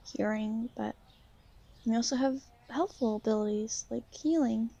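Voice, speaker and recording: A woman playing a shy, gentle young vampire in an ASMR roleplay, speaking softly and hesitantly to the listener. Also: a little high, gravelly, heavily acted. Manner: hesitant, soft-spoken, gently halting. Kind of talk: ASMR roleplay, soft intimate talk to the listener.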